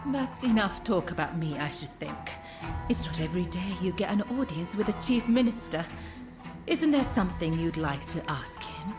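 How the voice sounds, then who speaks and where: A woman's voice: on the low side, lively intonation, moderate pace, close to an everyday voice. An actress playing a refined, discreet woman informant in a samurai-era video game, speaking politely and persuasively over music. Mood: polite, deflecting. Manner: polite, formal, softly persuasive. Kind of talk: video game cutscene acting.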